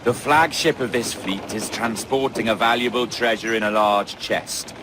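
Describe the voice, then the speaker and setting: A man playing a cunning, middle-aged pirate captain in a video game, with a Welsh accent and a measured, commanding, theatrical manner. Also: mid-range voice, background noise, close to an everyday voice. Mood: calculating. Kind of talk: dramatic game cutscene acting. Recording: loud music behind the voice.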